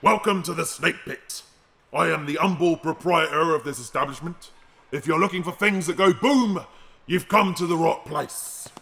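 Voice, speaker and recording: An actor playing a bitter, wary underworld arms dealer in an audio drama, with a gravelly voice. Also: male voice, a little high, normal speed, sharp tone. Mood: sly, welcoming.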